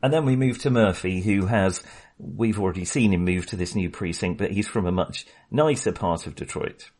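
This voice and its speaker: A man talks films in a casual, meandering way, full of ums and little laughs. His voice sits low with a husky edge. He sounds relaxed and chatty. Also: normal speed. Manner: rambling, hesitant, chuckles often. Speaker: podcast host. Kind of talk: casual film discussion.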